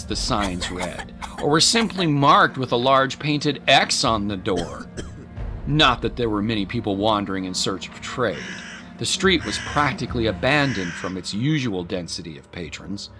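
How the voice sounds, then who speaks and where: Animated, bright-voiced male storyteller. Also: lowish voice. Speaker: narrator. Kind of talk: dramatic scripted story narration.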